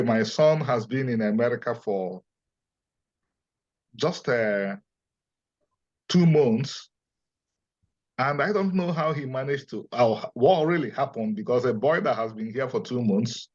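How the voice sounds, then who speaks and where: A middle-aged man from Nigeria with an Igbo accent, speaking as a scholar and interview guest in an earnest, emphatic, deliberate way. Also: mid-range voice, expressive. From Nigeria, Igbo land. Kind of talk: interview, lecturing. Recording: dull lo-fi audio.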